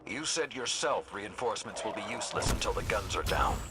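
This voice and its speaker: An actor playing a solemn, world-weary veteran warrior lord in a video game, speaking gravely in measured, formal phrases. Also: male voice, fairly low pitch, expressive, very noisy, close to an everyday voice. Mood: foreboding.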